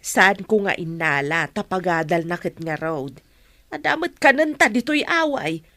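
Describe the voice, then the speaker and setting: An animated, protective Ilocano mother. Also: lowish voice, a little slow, no room sound, exaggerated voice. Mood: defensive. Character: caring, protective older rural mother. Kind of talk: Ilocano radio drama acting.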